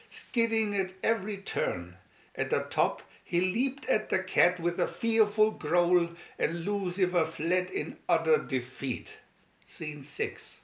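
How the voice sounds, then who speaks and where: Slow-reading male storyteller in a roomy space. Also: on the high side, highs cut off. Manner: deliberate read-aloud delivery. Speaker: storybook narrator. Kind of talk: scripted fairy tale narration.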